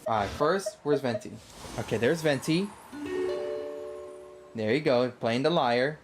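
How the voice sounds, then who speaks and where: A man's voice: medium pitch, a little slow, music in the background. A young man with an enthusiastic, easily delighted manner, giving casual live commentary as he plays a game.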